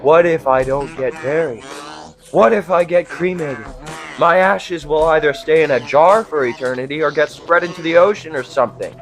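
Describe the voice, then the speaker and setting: A man's voice: on the high side, moderate pace, a bit bright, soft background music. A young man delivering a scripted absurdist comic monologue in a theatrical, sarcastic, exasperated way, swearing freely like a mock stand-up host. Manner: theatrical, profane, exasperated sarcasm with rhetorical questions.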